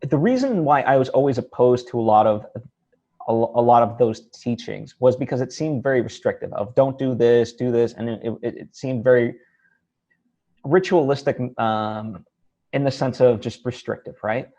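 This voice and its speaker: A man's voice: mid-range voice, moderate pace, mellow. Man hosting an interview, slightly dull audio. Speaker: interview host. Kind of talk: casual conversational interview.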